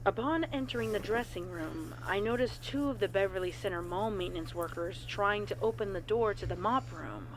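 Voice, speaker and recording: A woman's voice: medium pitch, moderate pace, hardly any pauses, poor audio. A woman reading a scripted horror story as a narrator, in the form of a report. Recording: clear background noise.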